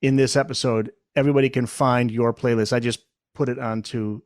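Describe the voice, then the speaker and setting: A middle-aged man hosts a podcast in a casual, conversational style. His voice sits at an average pitch, and the sound is dry with little room in it. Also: steady tone, a little fast, no room sound. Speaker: podcast host, former radio presenter.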